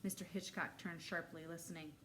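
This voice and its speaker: A woman's voice: on the low side, steady tone, a little fast, fairly bright tone. Woman reading a children's story, faint noise. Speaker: read-aloud storyteller for first graders. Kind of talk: animated children's story narration.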